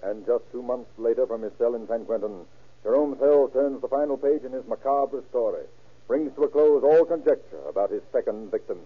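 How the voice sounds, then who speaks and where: A man's voice: medium pitch, fairly quick, husky. A man narrating an old radio crime show from a script in a solemn, declamatory way. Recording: tinny, band-limited old radio recording.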